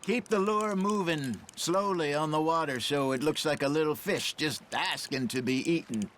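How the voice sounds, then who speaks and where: An actor playing a quick-witted old outlaw with an American accent and a knowing, gently mocking manner in a video game. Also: male voice, on the high side, slight background noise, natural voice. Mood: knowing, easygoing. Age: older. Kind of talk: video game cutscene acting.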